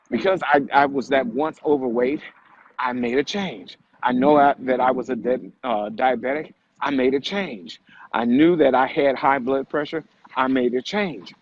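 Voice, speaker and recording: A man co-hosting a live motivational vlog, speaking emphatically with repeated phrases and rhetorical questions. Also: medium pitch. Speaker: vlog co-host and fitness motivator. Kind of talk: motivational talk on a live stream. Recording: muffled audio with background noise.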